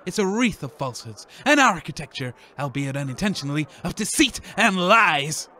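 A man's voice: on the high side, sing-song, moderate pace, bright, forceful tone, exaggerated voice. Man playing a haughty, long-winded travelling scientist. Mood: lofty, scornful. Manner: pompous, verbose, long-winded. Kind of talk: comedy radio play acting.

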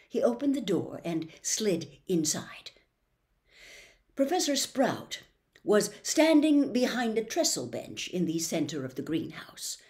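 An older woman narrates a story in an expressive audiobook style. Her pitch moves widely, giving the reading a lot of animation. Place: small furnished room indoors.

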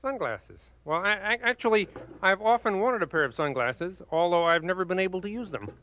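Mild frog puppet, high reedy voice, so done with it. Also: male voice, lively intonation, brisk, pushed and bright, slight background noise. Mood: exasperated. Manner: dry, exasperated, mild. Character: green frog, patient and put-upon. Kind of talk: puppet comedy sketch.

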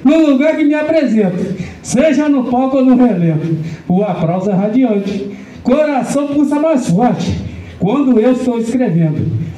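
Brazilian male folk poet for rhythmic declaimed rhymes; very high, animated voice, performing on a stage. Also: normal speed, lo-fi sound. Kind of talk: declaimed rhyming folk verse. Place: auditorium stage, indoors. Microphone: distant camera. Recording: echoey hall, far from the mic.

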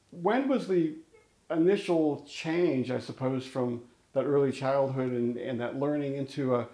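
Mellow-voiced male host. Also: mid-range voice. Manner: polished, scripted introduction. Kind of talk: hosting a studio interview. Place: indoor studio with framed awards.

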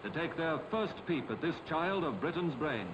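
A man's voice: on the high side, expressive, moderate pace, background noise. A British man giving formal, declamatory narration for a 1950s public information film. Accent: British English. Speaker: documentary narrator. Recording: dull 1950 film soundtrack, music behind.

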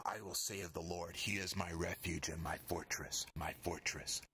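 Very deep, heavily processed metal-style man. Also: steady tone, sharp tone, a little hiss or hum. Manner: slow, solemn, repeated lines. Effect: heavily processed metal-style vocal. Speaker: music producer. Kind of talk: dramatic scripture reading.

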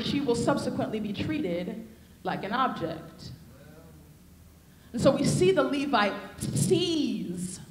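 A young woman preaching a sermon on a convention stage into a handheld mic, with a rhythmic, repetitive cadence. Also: fairly high pitch. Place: convention hall stage with podium. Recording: echoey hall.

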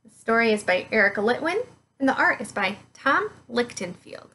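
A woman in her thirties reading a picture book aloud to children, with expressive, deliberate, emphatic phrasing. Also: on the high side, a little hiss or hum. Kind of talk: children's read-aloud storytelling.

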